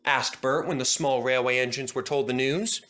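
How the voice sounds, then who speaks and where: A man's voice: mid-range voice, steady tone, normal speed, fairly bright tone. A man narrating a scripted children's story as a storybook reader.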